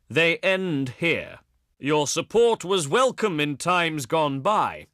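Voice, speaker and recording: An actor playing a proud, pious ancient Greek diplomat in a strategy game, speaking in formal, theatrical oratory with haughty eloquence. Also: male voice, fairly high pitch, sharp tone, dry recording, studio quality, full character voice. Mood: solemn, regretful. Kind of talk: theatrical scripted game dialogue.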